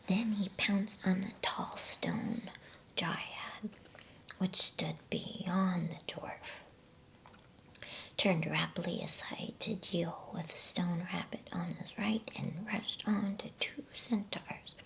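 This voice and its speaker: Slow whispering woman reading ASMR bedtime stories. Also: fairly low pitch, fairly even intonation. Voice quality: breathy unvoiced whisper. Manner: gentle, slow, hushed delivery.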